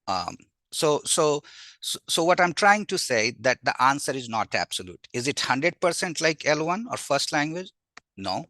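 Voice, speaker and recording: A man from Bihar in India, a native Magahi speaker with an Indian accent, lecturing informally as a language teacher in an animated way, often posing rhetorical questions. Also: a little high, moderate pace, no echo. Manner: animated, asks and answers own rhetorical questions. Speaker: university language teacher, invited webinar speaker.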